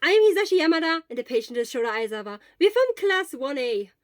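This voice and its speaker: An eager teenage boy student played by a woman reading a story, loud and excitable. The words gush out in a high voice, recorded very dry and close. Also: dry recording. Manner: gushing, excitable, rapid.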